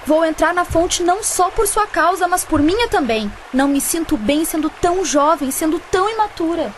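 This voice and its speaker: A woman's voice: very high-pitched, animated, moderate pace, slight background noise. A woman with a Brazilian accent playing an earnest, insecure young woman in love in a radio play. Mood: defiant.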